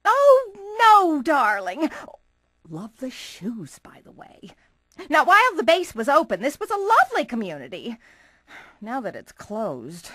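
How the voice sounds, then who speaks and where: A self-absorbed cartoon real estate lady, bright and on the high side. She gushes in an affected, theatrical way with big swooping pitch. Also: wildly swooping pitch, measured pace, dry recording, studio quality, exaggerated voice. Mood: gushing. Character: flamboyant gossipy female real estate agent, self-absorbed.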